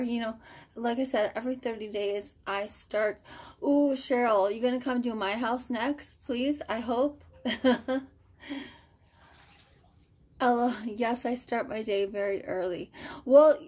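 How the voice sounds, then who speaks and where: A fairly high-pitched woman in her mid-thirties talks to viewers on a live stream from her home. She is upbeat and chatty and uses plenty of "um" and "like." It sounds like a webcam or phone mic in a casual setting. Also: a little mellow. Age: 30s. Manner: upbeat, chatty, many fillers like "um" and "like". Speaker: stroke survivor hosting a live stream. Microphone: webcam or phone on a live video.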